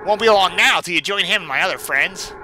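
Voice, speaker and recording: A man's voice: sits high, a little fast, pushed and bright, slight background noise, voice pushed up, low-quality recording. A man voicing an evil cartoon hedgehog villain who taunts and menaces his victims. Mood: menacing.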